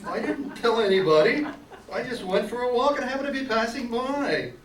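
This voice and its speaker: A man's voice: very high-pitched, animated, moderate pace, crowd noise. An amateur actor playing George Bailey, a restless, stubborn young small-town man in an old-time radio drama. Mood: defensive, awkward. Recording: distant echoey room, noisy hiss.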